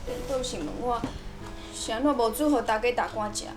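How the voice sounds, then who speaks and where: A woman's voice: fairly high pitch, fairly quick, over music, natural voice. A woman acting in a TV drama as an aggrieved, confrontational young wife and mother, with a Taiwanese accent. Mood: wounded, defensive. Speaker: actress in a family drama.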